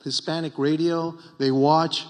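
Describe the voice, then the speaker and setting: A man's voice: a little high, flat delivery, unhurried, big-room sound. A middle-aged Cuban-born man, a congressman and former mayor, giving emphatic, repetitive panel remarks at a political retreat. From Cuba.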